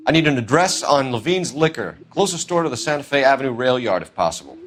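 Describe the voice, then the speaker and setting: An American actor playing an earnest, formal police detective who questions briskly in a video game. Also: male voice, mid-range voice, big pitch swings, a bit bright. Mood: businesslike. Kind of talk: video game dramatic acting.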